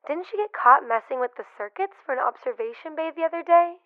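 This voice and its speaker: Gossipy young onlooker: high voice, excitable, thin radio-filtered sound. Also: female voice, quick pace, no echo. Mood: excited, nosy. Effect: radio communicator filter. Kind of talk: sci-fi radio drama acting. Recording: tinny radio or phone-like channel.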